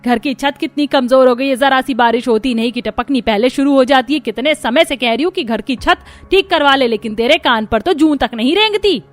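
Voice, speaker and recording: A woman's voice: sits high, a little fast, few pauses, close to an everyday voice. A woman voicing a bitter middle-aged mother-in-law in a cartoon story, scolding and complaining with sarcasm. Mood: angry, scolding. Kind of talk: Hindi cartoon story dubbing.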